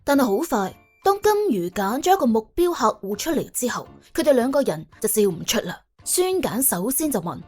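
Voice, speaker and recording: Lady audiobook narrator, fairly high voice, chatty dramatic storytelling, bit of music behind. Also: very animated, brisk, few pauses, slightly rough. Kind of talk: dramatised, colloquial comic crime storytelling. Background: soft background music.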